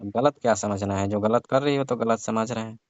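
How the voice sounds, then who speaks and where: A man's voice: fairly low pitch, fairly quick, no echo, close to an everyday voice. A man playing a nosy, suggestive father-in-law in a staged comic phone call. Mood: mildly exasperated. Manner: prodding, colloquial, mock-innocent. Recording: muffled, lo-fi, dry call recording.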